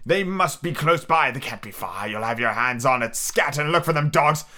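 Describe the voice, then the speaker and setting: A man's voice: mid-range voice, expressive, normal speed. A man voicing a furious, greedy blind old pirate ringleader who barks orders and curses in a raised voice in an adventure storybook. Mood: furious, desperate. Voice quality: loud, raised, shouting.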